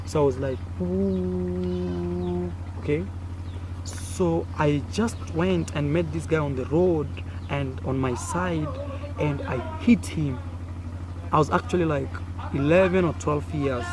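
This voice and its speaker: A young man with a Kenyan accent, Swahili his first language, telling a childhood story to camera in a casual, animated way, acting out sounds and gestures. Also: fairly high pitch, slow pace. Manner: animated, acts out sounds and gestures with frequent fillers. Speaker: content creator. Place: outdoors in a village. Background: faint village noise.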